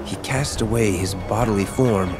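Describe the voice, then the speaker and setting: An actor playing a calm, mysterious young male villain in a game, speaking in a measured, cryptic and solemn way. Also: fairly low pitch, close to an everyday voice. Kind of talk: video game cutscene acting. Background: game soundtrack music.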